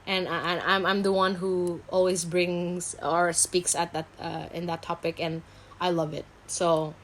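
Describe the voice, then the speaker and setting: A woman from Indonesia with a fairly low voice talks casually as an interview guest. She is a counselor, speaking in an informal, candid and self-reflective way. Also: flat delivery, measured pace, a little hiss or hum. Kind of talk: casual video interview chat.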